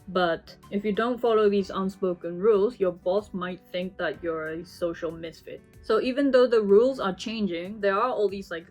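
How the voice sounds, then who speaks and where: A woman's voice: mid-range voice, darkish tone. A young woman from Japan, answering viewer questions in a casual, chatty way full of "you know" fillers. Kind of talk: casual Q&A chat.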